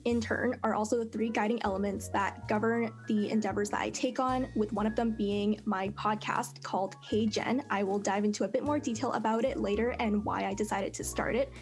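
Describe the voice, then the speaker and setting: A young woman, a fourth-year student, speaking in a polished, measured, carefully worded way as a panel guest. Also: mid-range voice. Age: young adult. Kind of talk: prepared self-introduction on a panel.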